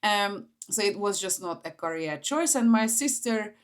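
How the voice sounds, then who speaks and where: A woman's voice: mid-range voice, animated, a little slow. A woman in her forties with a Hungarian accent, talking informally to camera as she shares personal stories. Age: 40s. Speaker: vlogger, entrepreneur.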